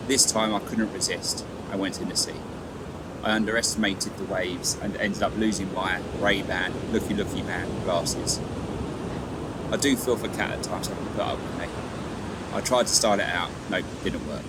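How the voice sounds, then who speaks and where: A man's voice: deep voice, steady tone, normal speed, heavy background noise. A man narrating a travel vlog as a scripted voice-over. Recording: loud music behind voice-over. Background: loud music.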